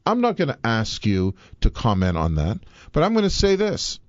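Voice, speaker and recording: A man's voice: mid-range voice, huge pitch swings, normal speed, no room sound, highs cut off. A man from the Bahamas hosting a live radio talk show, emphatic and playful.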